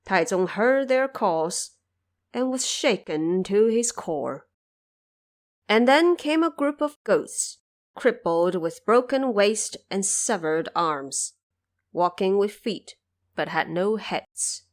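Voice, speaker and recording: A woman narrating a scripted fantasy audio drama in a deliberate, dramatic storytelling manner. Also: mid-range voice, lively intonation.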